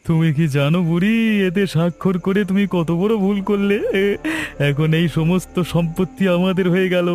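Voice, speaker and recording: A man's voice: sits high, steady tone, normal speed, a little mellow, faint music behind, natural voice. A man voicing a scheming but gullible young village errand man in a cartoon, wheedling and plaintive. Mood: gloating. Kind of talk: Bengali cartoon voice acting.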